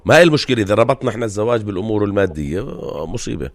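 A man's voice: fairly low pitch, expressive. A man hosting a call-in radio show, chatting with callers and blessing them. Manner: rapid, warm interjections and blessings to callers. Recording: dry studio radio sound.

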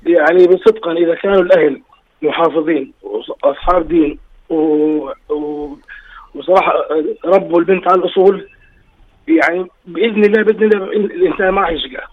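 A man's voice: a little high, quick pace, no room sound. A married man with a slightly tired voice calls in to a radio show to share an earnest personal story. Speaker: caller. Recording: dull lo-fi phone line.

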